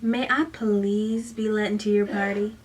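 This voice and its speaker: A woman voicing a teenage girl who fakes a sweet, demure Southern cheerleader drawl in a story, with breathy, stretched syllables and a pleading manner, recorded away from the mic. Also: medium pitch, fairly even intonation, measured pace, recorded from a distance, slight background noise, close to an everyday voice.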